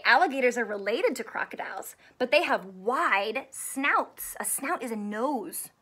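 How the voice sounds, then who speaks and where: A woman in her thirties reading a children's book aloud in an expressive, teacherly way, asking questions and drawing out key words. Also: on the high side. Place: small indoor room with couch and stuffed toys.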